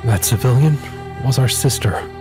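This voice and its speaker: A man acting an upset, commanding police officer in an audio drama, forceful and emphatic. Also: mid-range voice, normal speed, faint music behind, close to an everyday voice. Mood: grieving.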